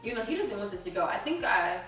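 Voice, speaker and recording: A woman's voice: mid-range voice, big pitch swings, quick pace, a bit bright. A woman in her twenties co-hosting a casual chat show, playful and laughing often. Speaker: podcast co-host. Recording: echoey room, distant mic, faint music.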